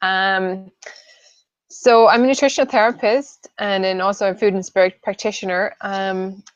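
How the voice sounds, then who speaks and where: A woman in her late thirties from southern Sweden, co-hosting a webinar as a nutritional therapist and speaking casually with frequent ums and pauses. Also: mid-range voice, steady tone, dry recording. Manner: hesitant, many ums and "I suppose". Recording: dull, dry lo-fi audio.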